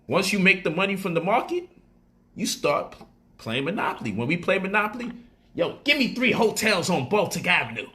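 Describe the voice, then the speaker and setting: A man talking through stock options in a loose, slangy livestream style, and his voice comes across bright and forceful. He repeats lines to drive a point home and gets lively and playful as he goes on. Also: sits high, fairly quick. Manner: animated, slangy, repeats phrases for emphasis. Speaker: speaker explaining stock options to viewers. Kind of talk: casual livestream talk.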